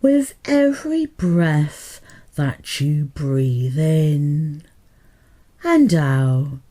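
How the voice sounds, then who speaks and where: A woman hypnotherapist guiding a meditation in a soothing, deliberate manner, pausing between short phrases. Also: deep voice, sing-song, warm tone. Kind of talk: guided meditation hypnotherapy narration.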